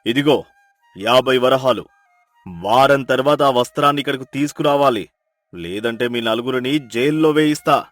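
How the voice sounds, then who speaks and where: Man acting a village landlord, proud, pompous, loud, commanding, gullible, moral story cartoon, music behind. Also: mid-range voice, normal speed, no echo, close to an everyday voice. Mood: commanding, impatient.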